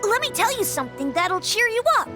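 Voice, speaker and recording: An actress voicing an eager, boastful young child in a video game, speaking in a childlike way. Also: female voice, very high voice, sing-song, brisk. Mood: proud, consoling. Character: eager, boastful young child who plays beetle fights. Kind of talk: English video game voice acting. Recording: music playing behind the voice.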